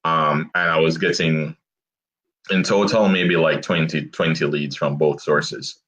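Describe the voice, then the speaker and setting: A young man guest telling his story in a relaxed interview, with halting restarts and frequent laughter. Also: rumbling low. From abroad, grew up outside the US. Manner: laughs often, self-deprecating, halting restarts. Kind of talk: casual interview chat. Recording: dull lo-fi online call audio.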